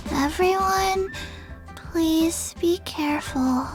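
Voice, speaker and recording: A woman voicing a timid, dutiful little girl in a video game, with halting, drawn-out speech. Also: very high voice, music in the background, exaggerated voice. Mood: worried. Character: small slow-speaking girl, timid and dutiful apprentice.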